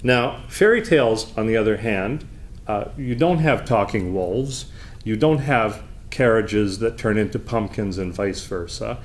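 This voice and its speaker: A man delivering an off-the-cuff debate speech as opposition leader, formal and deliberate, with halting phrasing. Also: fairly low pitch, expressive. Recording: background noise, live room.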